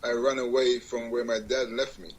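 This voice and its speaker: A man's voice: medium pitch, flat delivery, normal speed. A man from Jamaica, a guest on a radio show, telling his life story in a rambling way, correcting himself and laughing at his own jokes. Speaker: guest musician. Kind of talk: casual interview conversation.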